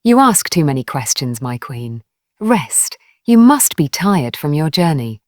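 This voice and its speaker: A woman voicing an undead ghost husband in a folktale, cold, possessive and eerily tender, speaking in a slow, coaxing way. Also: low voice, big pitch swings, a little mellow, no echo, clean studio sound. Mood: cold, soothing.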